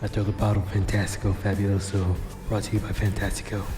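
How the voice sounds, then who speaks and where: A low-pitched, wry cyberpunk operative acted by a man narrates his own exploits in an audio drama. He is deadpan yet theatrical, with a long roomy reverb on the voice and music underneath. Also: quick pace, lightly acted. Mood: tense, deadpan.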